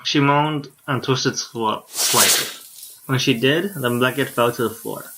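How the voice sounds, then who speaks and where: A man's voice: medium pitch, a little slow, noisy background. A tired male streamer reading a visual novel aloud in a laid-back way, with casual asides. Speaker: live streamer.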